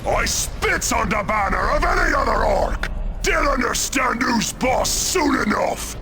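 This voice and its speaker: A brash ork warboss from a video game, bellowing in rough Cockney. The voice is high for a man and swoops wildly with belligerent bluster. It is gritty and harsh, over music. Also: expressive, measured pace, raised voice, exaggerated voice. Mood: angry, bossy. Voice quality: gravelly, harsh. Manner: bellowing, crude rough grammar. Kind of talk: video game cutscene acting.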